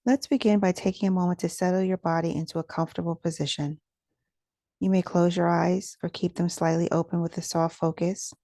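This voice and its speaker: A woman leading a guided meditation as its teacher, speaking in a gentle, soothing, instructional manner with soft pauses. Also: fairly low pitch, no room sound, clean studio sound.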